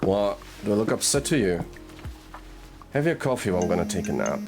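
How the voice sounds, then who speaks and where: A man playing a gruff, sardonic detective in an audio roleplay drama, drawling and murmuring through his pauses. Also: low-pitched, sing-song. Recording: music behind the voice.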